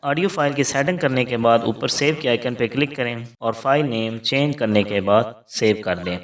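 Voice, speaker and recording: A man's voice: mid-range voice, far from the mic. A man narrating a scripted tutorial as host, giving formal step-by-step instructions.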